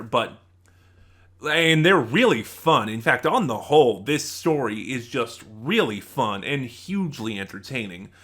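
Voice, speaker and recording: A man giving a casual, unscripted review in a bright, forceful voice, speaking enthusiastically and emphatically with a halting flow. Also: mid-range voice. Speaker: video reviewer.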